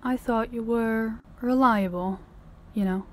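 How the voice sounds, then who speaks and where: A woman playing a stern, condescending female mafia boss in an intimate audio roleplay, giving measured orders directly to the listener. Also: on the high side, slight background noise. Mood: cold, condescending.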